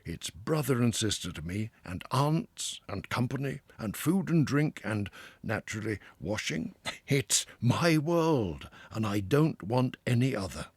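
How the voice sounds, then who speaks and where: A man voicing a hearty, self-assured male water rat who talks loudly and with relish. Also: medium pitch, a little slow, slightly rough, no room sound, voice pushed up, exaggerated voice. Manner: loud, confident, lively, with chuckles.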